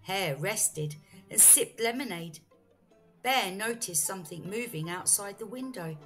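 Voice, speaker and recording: A woman storyteller reading a children's bedtime story aloud in an expressive, deliberate way. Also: mid-range voice. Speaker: storyteller, teacher. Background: faint music.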